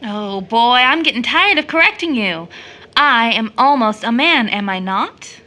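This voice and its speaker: A woman playing a doting, playful young woman who teases and gently corrects in an audio drama. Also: fairly high pitch, expressive, measured pace. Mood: fond, teasing. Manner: teasing, gently correcting, fond. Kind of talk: stage play audio drama acting.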